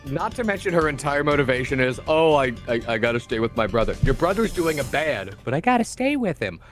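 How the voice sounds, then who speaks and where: A man in casual chat, argumentative and halting as he makes his point. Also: fairly high pitch, big pitch swings. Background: faint music.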